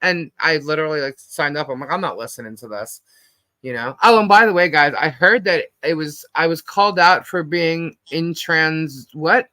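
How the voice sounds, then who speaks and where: A middle-aged American woman with a deep husky voice, chatting casually and bluntly as a live stream host, telling rambling anecdotes in slangy speech. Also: moderate pace, sharp tone, no echo. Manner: blunt, slangy, animatedly quotes herself and others.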